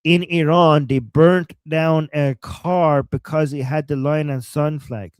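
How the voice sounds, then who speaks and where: A man with a Persian accent co-hosting a live stream, reading out chat messages and reacting with animated, joking commentary and frequent laughter. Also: fairly high pitch, takes it slowly, clean tone, no echo.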